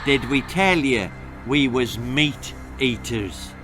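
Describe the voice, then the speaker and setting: A man's voice: medium pitch, animated, takes it slowly, over music. A man voicing a sly, scruffy little leprechaun with a folksy Irish brogue in a children's story. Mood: menacing.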